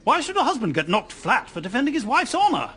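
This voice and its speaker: Blustering male captain, high pitch, wildly swinging intonation, emphatic, radio drama campaigner. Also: huge pitch swings, fast, pushed and bright, full character voice. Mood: indignant.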